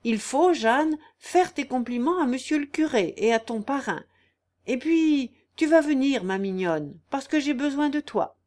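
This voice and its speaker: A woman putting on a shrill, ranting peasant aunt. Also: lively intonation, a little slow. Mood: bossy coaxing. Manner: loud, wailing, declaiming indignantly. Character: shrill, ranting, self-pitying peasant aunt, a shrewish guilt-tripper.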